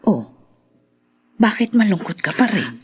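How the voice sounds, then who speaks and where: A woman's voice: medium pitch, very animated, unhurried, darkish tone, slightly rough, no echo, heavily acted. A caring older Filipino woman in a radio drama. Her voice swings up and down as she fusses and exclaims with concern. It is recorded dry and close. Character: caring, fussy older female best friend.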